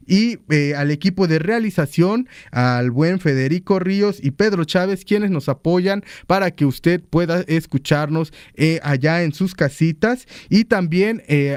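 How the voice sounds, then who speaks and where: A man hosting a radio interview in a formal, courteous manner, his fairly high voice heard dry and close in the studio. He often pauses on small "eh" fillers between phrases. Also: darkish tone, no room sound. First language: Totonac. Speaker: radio show host.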